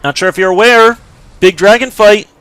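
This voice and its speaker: Name unknown, smooth-voiced young gamer. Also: male voice, high-pitched, normal speed, fairly bright tone, no echo, low-quality recording. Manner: rambling, with many fillers and sudden outbursts. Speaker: gameplay video host. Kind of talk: casual let's play commentary.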